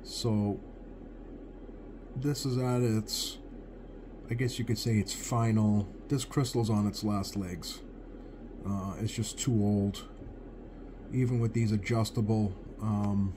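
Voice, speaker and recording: Man, deep-leaning pitch, soft mellow tone, hesitant casual demonstrator, frequent pauses. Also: a little hiss or hum. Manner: halting, hesitant, pausing often while working. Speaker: radio repair hobbyist presenting a bench video. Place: indoors at a workbench.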